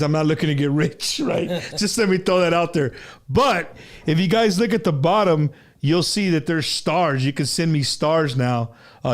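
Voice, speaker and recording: A man hosting a casual livestream music interview, loose and slangy, laughing easily and calling his guest bro and dude. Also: a little high. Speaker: host of an online music interview show.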